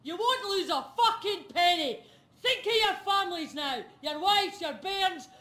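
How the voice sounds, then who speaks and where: A brash northern English bank robber in a game. His voice is sharp, pushy and pitched very high, with room echo around it. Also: expressive, a little slow, heavily acted. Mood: aggressive. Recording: roomy echo.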